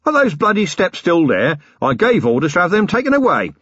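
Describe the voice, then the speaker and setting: A man voicing a rough, ruthless older businessman who talks with blunt, matey working-class bluster in an audiobook. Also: sits high, wildly swooping pitch, brisk, fairly bright tone, no room sound, dull audio, pitched above the performer's own voice, full character voice. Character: rough, ruthless older male scrap-and-muck tycoon. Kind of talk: audiobook character voice.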